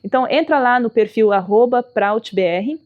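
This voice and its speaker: A woman's voice: fairly high pitch, lively intonation, moderate pace, narrow, tinny audio. A woman teaching about spirituality and politics in an earnest, explanatory manner, stressing her key words and speaking as someone whose first language is not Portuguese. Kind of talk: spoken talk on spirituality and politics.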